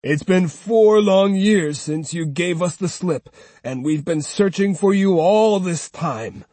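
Male narrator playing a withered old swordsman, defiant, slow and husky. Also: a little high, darkish tone, no echo, exaggerated voice. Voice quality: withered, thin. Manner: defiant, declaiming. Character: frail stubborn old man with a sword. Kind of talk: audiobook character voice.

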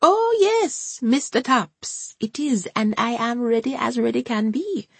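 Woman doing a high-pitched granny, devout and jittery, sing-song and eager. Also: lively intonation, unhurried, vocal fry, breathy edge, dry recording, full character voice. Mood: anxious. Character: nervous elderly Caribbean grandmother, devout.

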